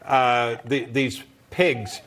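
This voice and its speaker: A man's voice: medium pitch, level tone, very slow, a bit bright. An American man chatting casually and bantering as a panelist on a talk show.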